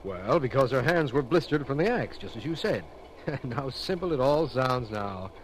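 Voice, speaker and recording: An actor playing a detective's steady, curious companion in an old-time radio drama, measured and plain-spoken. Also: male voice, medium pitch, lightly acted. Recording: noisy vintage radio show recording.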